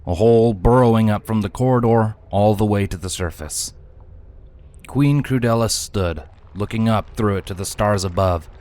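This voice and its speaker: Low-voiced man narrating dramatic fiction. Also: darkish tone.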